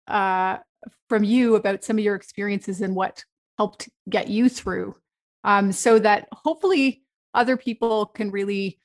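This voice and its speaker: A woman hosting an interview podcast, reading a scripted intro and then chatting with frequent restarts. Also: mid-range voice. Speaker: podcast host.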